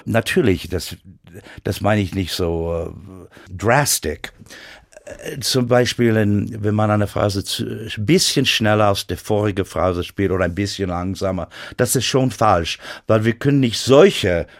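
Brief: American guest speaking careful, halting German, low-pitched, many restarts. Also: male voice, gravelly. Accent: American English. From United States. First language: English. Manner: halting, searching for German words, frequent restarts. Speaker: guest pianist. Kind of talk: radio interview.

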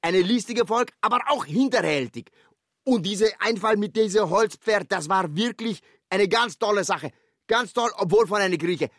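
An actor playing a fawning, folksy Turkish guide in a comic radio play, with a Turkish accent, broken grammar and a repetitive, chummy way of talking. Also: male voice, high voice, animated, normal speed, fairly bright tone, dry recording, clean studio sound. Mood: grudgingly admiring. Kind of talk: comic audio drama acting.

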